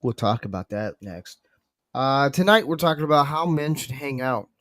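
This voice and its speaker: Flu-hoarse man hosting a podcast. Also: medium pitch, lively intonation, normal speed, fairly bright tone, no room sound, clean studio sound. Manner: loose, joking, leads the round-table. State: sick with flu, voice deeper than usual. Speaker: podcast host. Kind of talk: casual group podcast chat.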